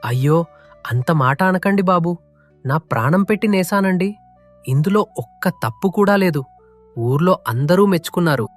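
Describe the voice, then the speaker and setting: A man's voice: high-pitched, expressive, normal speed, long pauses, faint music behind. A man playing a humble, weary poor weaver. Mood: pleading. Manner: earnest, deferential, weary. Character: humble, honest, poor village handloom weaver, husband. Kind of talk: audio moral story acting.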